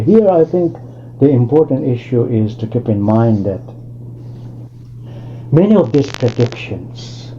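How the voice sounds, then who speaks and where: A man's voice: mid-range voice, mellow, a little hiss or hum. An older man from Tanzania giving an academic lecture over a video call, speaking discursively with many asides and restarts. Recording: lo-fi online call audio.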